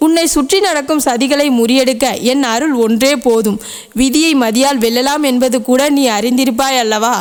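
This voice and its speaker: A woman's voice: high-pitched, normal speed, smooth, close to an everyday voice. A woman voicing a protective, ageless divine mother goddess who gives a tender, solemn devotional message to a devotee she calls her dear child. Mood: reassuring. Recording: long echoing reverb.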